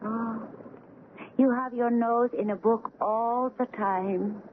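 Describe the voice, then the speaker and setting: A woman's voice: fairly high pitch, fairly even intonation, takes it slowly. An actress playing a proud, devoted foreign island woman in an old-time radio drama, speaking in simple, formal phrases. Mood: calm, friendly. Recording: tinny old radio broadcast, faint noise.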